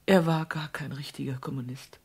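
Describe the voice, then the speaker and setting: An actress playing a worried, probing wife in an intimate radio play, speaking in a breathy, husky voice. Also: female voice, low voice, fairly even intonation, normal speed, heavily acted. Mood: hushed, concerned.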